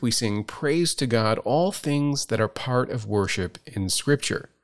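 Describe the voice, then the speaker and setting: A man in his fifties, a pastor, answering listener questions in a measured, explanatory way with light humor. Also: mid-range voice. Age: 50s.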